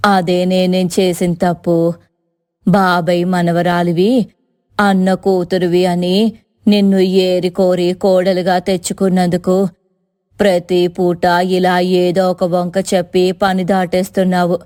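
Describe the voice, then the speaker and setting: A woman voicing a weary, pleading middle-aged village mother-in-law in an animated story, coaxing and complaining in drawn-out phrases. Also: on the low side, faint music behind, pitched below the performer's own voice. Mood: exasperated.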